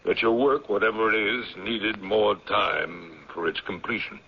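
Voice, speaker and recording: A commanding old patriarch in a radio play, low and gravelly. He is grave and deliberate, with an ominous authority. The sound is that of a dull vintage broadcast. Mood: measured, knowing. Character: stern, commanding old patriarch, captain of a secluded mountain community. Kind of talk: old-time radio drama acting.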